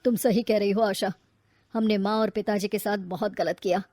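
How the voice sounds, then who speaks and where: A woman voicing a gruff retired father in a lowered voice. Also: fairly even intonation, moderate pace, mellow, dry recording, studio quality, natural voice. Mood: regretful.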